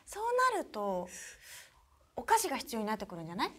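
A woman's voice: medium pitch, sing-song, fast, fairly bright tone. A young adult woman in casual talk-show chat, with an agreeable, playful way of talking. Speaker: voice actress on a promotional talk show. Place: small indoor studio set, seated at a table.

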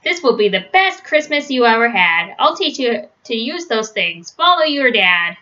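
A woman's voice: on the high side, big pitch swings, normal speed, pushed and bright. A woman voicing a boastful, overconfident father bear from a storybook, showily instructing his son with emphasis. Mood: eager, boastful. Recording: dull, lo-fi webcam sound.

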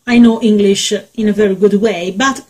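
A young woman with an Italian accent, Italian her first language, hosting a face painting tutorial from a small bedroom, speaking haltingly with many fillers. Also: mid-range voice, fairly even intonation, darkish tone. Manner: slow, hesitant, many fillers. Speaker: makeup tutorial host.

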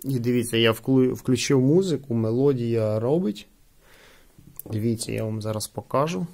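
A man's voice: medium pitch, normal speed, creaky, a little mellow. A man reviewing gadgets in a casual, explanatory hands-on style, often repeating himself. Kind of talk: casual hands-on product demonstration. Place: indoors at a wooden table.

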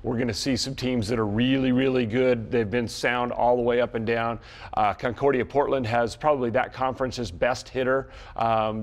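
Male, middle-aged, American. Folksy coach in a sports interview, medium pitch, repeats himself as he explains. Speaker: softball coach. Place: small indoor locker-style studio set.

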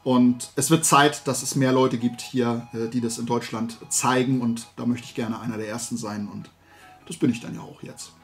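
A man's voice: mid-range voice, little pitch movement, moderate pace, faint music behind. A man in his forties chatting casually to camera as a video host, rambling and colloquial with emphatic turns. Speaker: YouTube host. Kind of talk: casual chat to camera, product haul. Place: indoors, small collection room lined with shelves.

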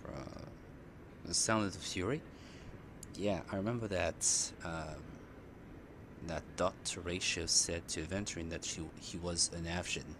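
Slow, low-voiced male game streamer. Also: steady tone, noisy background. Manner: halting, musing aloud. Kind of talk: casual live playthrough commentary. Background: game music.

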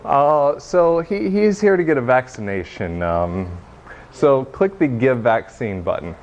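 Halting young man presenting, muffled noisy recording. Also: mid-range voice, lively intonation. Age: young adult. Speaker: presenter giving a software demo. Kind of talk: informal technical presentation, demo. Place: meeting room, standing by projection screen.

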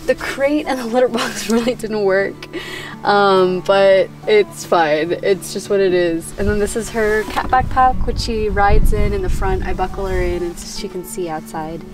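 A woman chatting casually about her camping setup at a campsite, relaxed and upbeat with a few fillers. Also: mid-range voice, moderate pace. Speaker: camping companion. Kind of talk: casual chat. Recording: background music.

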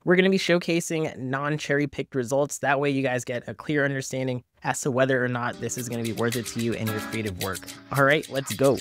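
A man's voice: mid-range voice, steady tone, fairly quick, few pauses, over music. A man hosting a casual video review, narrating with enthusiasm and slang. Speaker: host, reviewer.

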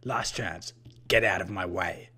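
A man voicing a menacing, haughty vampire-like killer in a story, with a husky, breathy voice and an odd, deliberate way of talking. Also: deep voice, pushed and bright, exaggerated voice. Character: menacing, haughty purple-skinned vampire-like killer, male, unhurried.

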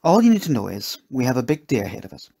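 A man voicing a kindly, motherly woman cook in a storybook, with a gentle, homely, fussing manner. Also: medium pitch, sing-song, fast, creaky, slightly rough, voice pushed up, full character voice. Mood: cheerful, reassuring.

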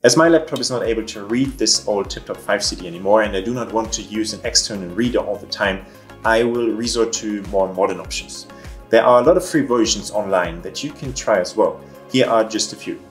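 Young German male vlogger, earnest with deadpan irony, low-ish voice, home office desk, soft music bed. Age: young adult. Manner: earnest, mildly ironic asides. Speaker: vlogger and social auditor. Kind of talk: casual explanatory vlog. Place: home office room, indoors.

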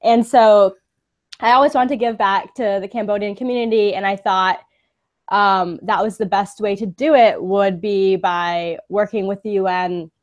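A young woman from the Bay Area speaks from a sofa over a webcam call, upbeat and quick to laugh. She talks casually at a middle pitch, with plenty of ums and uhs. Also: fairly even intonation. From San Francisco Bay Area, USA. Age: 20s. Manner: upbeat, laughs often, many fillers. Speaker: interview guest, pageant delegate. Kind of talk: casual live video interview. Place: indoor room, sitting on a sofa. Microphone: webcam video call.

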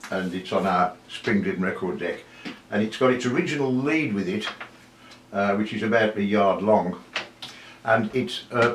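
An older man giving an informal technical explanation in an unhurried, hesitant, modest way. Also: lowish voice. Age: 60s or older. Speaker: presenter of a vintage audio technical video. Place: small home study with desk and bookshelves.

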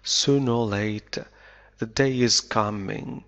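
A man teaching English, reading a novel aloud slowly and deliberately and explaining it line by line, an Arabic speaker. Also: lowish voice, gravelly, fairly bright tone. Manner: slow deliberate reading, then quick explanations. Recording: dull lo-fi recording.

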